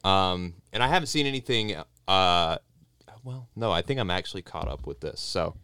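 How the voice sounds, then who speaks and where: A man's voice: deep voice, lively intonation, normal speed, bright, forceful tone, clean tone, no room sound. A young man co-hosting a podcast, teasing his friend and giving short replies. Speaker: podcast co-host. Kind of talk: casual banter.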